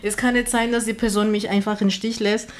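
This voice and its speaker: Woman from texas, german, halting with fillers, casual panel chat. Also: mid-range voice, little pitch movement. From Houston, Texas, USA. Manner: non-native German with grammar slips and many fillers. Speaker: panel guest.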